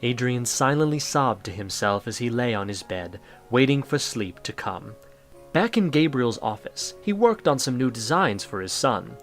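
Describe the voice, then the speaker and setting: A man delivers scripted storybook narration for an audio drama. His mid-pitched voice moves with lively intonation, and music plays behind him. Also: normal speed.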